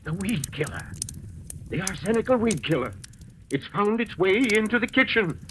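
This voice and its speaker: An actor playing a suspicious, dryly witty English gentleman in an old radio mystery, clipped and commanding. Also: sits high, very animated, normal speed. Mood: urgent. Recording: noisy, crackly old radio recording.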